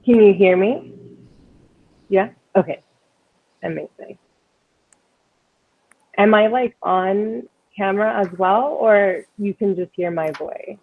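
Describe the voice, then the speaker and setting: A woman's voice: medium pitch, takes it slowly, pauses often. A woman from the Dominican Republic, a guest speaker giving an informal, chatty talk to an online service over a video call. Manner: chatty, informal, light nervous laughs. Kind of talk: casual talk to a congregation. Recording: muffled video call audio.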